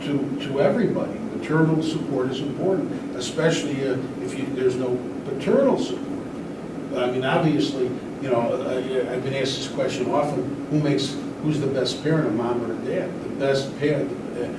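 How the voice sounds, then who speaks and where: A man answers on a discussion panel, halting and repeating words for emphasis. His voice is distant and swims in heavy echo, with loud noise throughout. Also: mid-range voice. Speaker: attorney and panel guest. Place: large echoey room at a school event. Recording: echoey hall, distant mic, loud noise.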